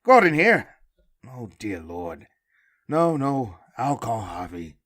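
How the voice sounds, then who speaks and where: A man plays a harried middle-aged police commissioner in an audio drama. He is stern and world-weary, pushing his words out emphatically with a lively, swinging pitch. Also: slow, full character voice. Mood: alarmed.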